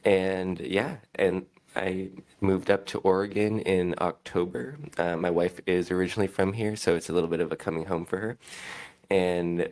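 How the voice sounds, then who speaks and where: Deep-voiced man, relaxed and friendly. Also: moderate pace. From Hong Kong. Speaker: financial advisor guest. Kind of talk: casual podcast chat.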